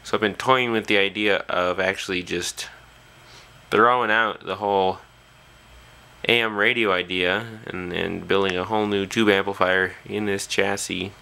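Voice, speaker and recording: A man's voice: low voice, fairly even intonation, moderate pace, creaky, sharp tone. A man talking casually and off the cuff as a hobbyist presenter, hesitantly thinking aloud at an indoor workbench on a camera's built-in mic. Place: small indoor workshop at a bench.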